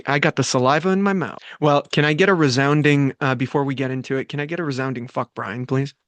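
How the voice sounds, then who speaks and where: A man's voice: mid-range voice, steady tone, fast, fairly bright tone, dry recording. A man hosting a table read, moving between casual, playfully crude banter and reading out stage directions. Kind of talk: casual, joking group chat and script narration.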